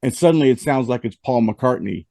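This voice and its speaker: Male host, gravelly and losing his voice after the flu, dry close sound. Rambling and casual, restarts his sentences. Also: mid-range voice, expressive, brisk, dark tone, no echo. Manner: rambling, with frequent restarts and fillers. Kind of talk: casual music review chat.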